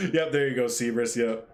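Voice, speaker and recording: A man hosting a casual livestream, stuffed up from a sinus cold, chatting in a friendly, rambling way and reading out chat. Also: medium pitch. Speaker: livestream host.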